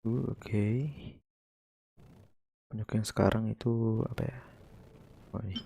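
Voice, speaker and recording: Indonesian-accented man, game streamer: deep-ish, very mellow voice, casual muttered commentary and chat with viewers. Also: fairly even intonation, moderate pace, creaky, husky. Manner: colloquial, muttering to himself and greeting viewers. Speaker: game livestreamer. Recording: faint background noise, clicks.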